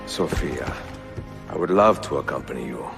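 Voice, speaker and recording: An actor playing an aging Italian master assassin, grave, courteous and world-weary, in a video game, with an Italian accent and a gravelly voice. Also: male voice, very deep voice, expressive, normal speed, heavily acted. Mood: courteous, gentle. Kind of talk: cinematic video game acting. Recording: music behind the dialogue.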